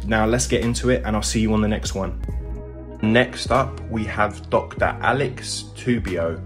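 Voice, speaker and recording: A man's voice: fairly low pitch, moderate pace. A man speaking as a channel host, giving a scripted introduction straight to viewers. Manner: addresses viewers directly. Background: plucked guitar music.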